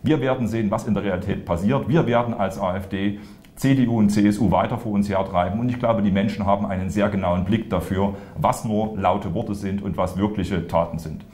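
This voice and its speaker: Deep-voiced man in an echoey room. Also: steady tone, fairly quick, a little mellow. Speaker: politician, party spokesman. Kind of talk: interview answers, political commentary. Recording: roomy echo.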